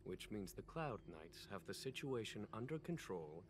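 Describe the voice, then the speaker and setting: A man playing a shrewd, unhurried general in a video game, measured and musing, with quiet authority. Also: lowish voice, sing-song. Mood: quietly amused. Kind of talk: scripted video game voice acting. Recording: background music under the voice.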